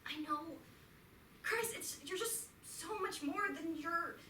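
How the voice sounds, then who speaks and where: A woman's voice: extremely high pitch, takes it slowly, sharp tone, a little hiss or hum, exaggerated voice. A woman reading the part of a frank, affectionate middle-aged wife in a staged play reading. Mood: exasperated, tender. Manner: earnest stage reading, rising to exasperated outbursts. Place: theatre auditorium. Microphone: distant stage pickup. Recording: echoey theatre taped from far off.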